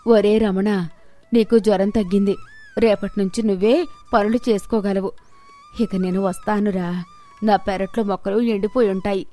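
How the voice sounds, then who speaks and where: A woman's voice: medium pitch, steady tone, normal speed, pauses often, mellow, soft background music, natural voice. A woman voicing a poor, caring, outspoken village vegetable seller in a storybook tale, plaintive and homely. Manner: plaintive, emphatic, homely scolding.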